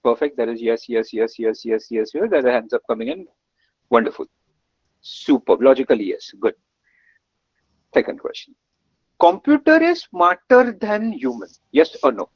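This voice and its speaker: A man's voice: mid-range voice, normal speed, long pauses, husky, no echo. A man from Gujarat with an Indian English accent, a webinar instructor who coaxes answers and heaps on praise. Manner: energetic, coaxing, lots of praise and repetition. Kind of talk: live online coding lesson. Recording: dull, muffled dry webinar audio.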